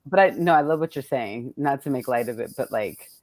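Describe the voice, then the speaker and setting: A woman's voice: expressive, brisk, darkish tone, no echo, rough recording. A woman hosting a casual, reflective conversation as a poet, searching for her words and often rephrasing as she goes. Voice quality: low-pitched. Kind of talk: casual reflective interview chat.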